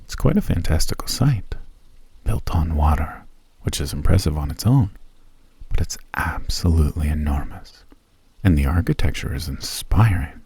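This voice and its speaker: A man voicing a gracious elf who has lived for centuries, speaking in an ASMR roleplay. His voice is very deep, mellow and husky, and it sits dry and close to the mic. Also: expressive, moderate pace, no room sound, full character voice. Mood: friendly. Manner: gracious, formal and softly spoken. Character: ancient male elf host, courteous and genteel.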